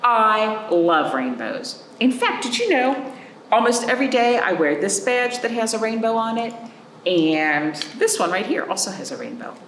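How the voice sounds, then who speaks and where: A woman hosting a children's storytime, reading a picture book aloud expressively with dramatic pauses and stretched words. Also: a little high, big pitch swings, fairly bright tone. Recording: roomy echo, faint background noise.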